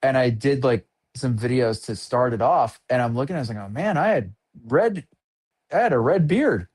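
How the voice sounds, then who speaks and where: A man in his fifties, an artist being interviewed, who speaks in an unhurried way and often laughs while talking. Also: mid-range voice, animated, a little mellow, husky. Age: 50s. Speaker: guest artist. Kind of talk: casual interview chat.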